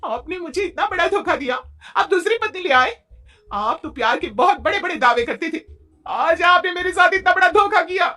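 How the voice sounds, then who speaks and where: A woman voicing an indignant, sharp-tongued young wife who argues in a Hindi moral-story cartoon. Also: very high-pitched, expressive, moderate pace, faint music behind, heavily acted. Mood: betrayed. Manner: argumentative, aggrieved.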